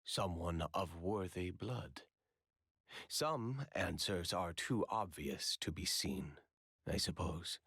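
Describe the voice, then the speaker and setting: An actor playing a grave, courteous commander of royal knights in a video game, with measured, formal speech. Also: male voice, sits low, creaky, fairly bright tone, husky, dry recording, studio quality, full character voice. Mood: grave, resolute. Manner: measured, formal, archaic diction. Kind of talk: dramatic video game voice acting.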